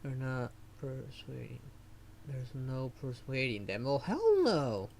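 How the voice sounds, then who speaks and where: A man's voice: mid-range voice, big pitch swings, takes it slowly, creaky, a little mellow, slight background noise. A man streaming a game, giving sarcastic live commentary and heckling the characters. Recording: faint game music behind. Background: game music.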